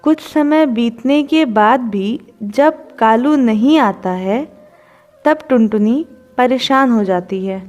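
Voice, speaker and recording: A woman's voice: on the high side, slow pace, darkish tone, muffled recording, soft background music. A woman narrating a scripted children's story as its storyteller.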